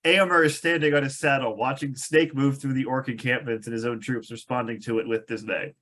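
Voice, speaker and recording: A man's voice: mid-range voice, steady tone, brisk, a bit bright. Wry man narrating a script aloud. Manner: amused, sardonic. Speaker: narrator reading stage directions. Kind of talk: table read of a film script with wry asides.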